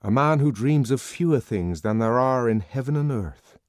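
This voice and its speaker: An earnest young outsider played by a male narrator in a novel reading. His voice is pitched high, and he speaks with naive, literary intensity and ready indignation. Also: animated, moderate pace, no room sound, clean studio sound, lightly acted. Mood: prompt, earnest. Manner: hesitant, earnest, literary phrasing.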